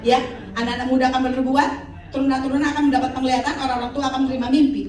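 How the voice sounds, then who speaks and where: High-voiced woman preaching in an echoey hall. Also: rapid-fire, a crowd in the background. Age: 50s. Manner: lively preaching with call-and-response. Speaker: pastor. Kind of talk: sermon. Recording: echoey hall, mic from far off.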